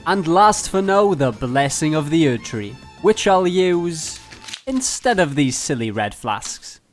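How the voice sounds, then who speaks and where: A man's voice: fairly high pitch, very animated, takes it slowly, soft background music. A man narrating a video game challenge run as scripted commentary, playful and mock-grandiose with jokey asides.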